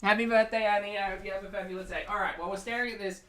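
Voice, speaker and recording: A man's voice: high-pitched, steady tone, very fast, pushed and bright. An Australian man hosting a casual livestream, chatting to viewers in a playful, talkative way with cutesy slang. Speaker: livestream host and reactor.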